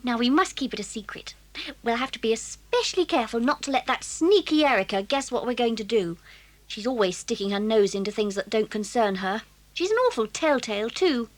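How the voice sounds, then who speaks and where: A woman playing a bossy, excitable English boarding-school girl with jolly slang in a children's audio drama. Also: a little high, a little fast, lightly acted. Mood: secretive. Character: bossy, excitable schoolgirl planning a secret party.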